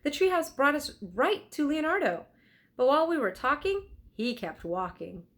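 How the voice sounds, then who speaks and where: A woman's voice: high-pitched, sing-song, moderate pace, pitched above the performer's own voice. A woman reading a children's storybook, voicing an earnest, bookish young boy explorer with emphatic, explanatory delivery. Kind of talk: children's storybook read aloud.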